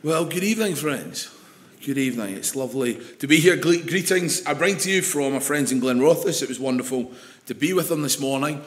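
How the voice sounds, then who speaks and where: A Scottish man preaching an evening sermon with a slightly croaky voice, chatty and putting questions to the congregation. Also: a little high, lively intonation, a little fast, reverberant. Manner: conversational, asks the congregation questions.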